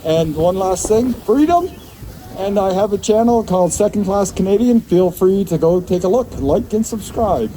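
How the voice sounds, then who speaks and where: Very high, mellow-voiced man at a rally. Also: lively intonation, normal speed, slight background noise, poor audio. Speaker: open-mic speaker. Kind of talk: brief informal rally speech.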